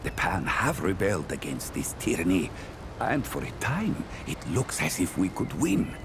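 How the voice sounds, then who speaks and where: A man's voice: deep voice, sing-song, moderate pace, noisy background. An actor playing a solemn, wise male trader from a jungle people in a video game, speaking in formal, archaic phrases. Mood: solemn, lamenting. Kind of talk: video game character monologue.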